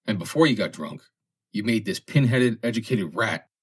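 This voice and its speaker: A man voicing a shrewd, disapproving sailor with a hoarse voice in an audiobook. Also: lowish voice, lively intonation, normal speed, pitched above the performer's own voice. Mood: scornful. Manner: disapproving, scornful. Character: recovering sailor, scornful and shrewd, just out of bed. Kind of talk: audiobook character acting.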